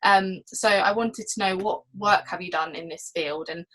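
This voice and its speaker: Woman interviewer with an even, clear delivery. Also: mid-range voice, normal speed, rough recording. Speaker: interviewer, trade magazine reporter. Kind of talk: hosting a conference-call interview. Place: remote video call.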